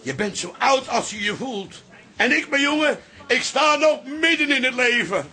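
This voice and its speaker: A man's voice: extremely high pitch, expressive, normal speed, pushed and bright, heavily acted. An actor playing an indignant, boastful old father in a radio drama, grumbling and blustering. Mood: defiant, proud. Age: older.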